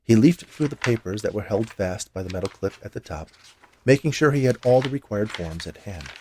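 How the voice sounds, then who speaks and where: A man's voice: lowish voice, animated, fairly quick, warm tone, a little hiss or hum. A man reading scripted fiction aloud as a storyteller. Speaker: story reader.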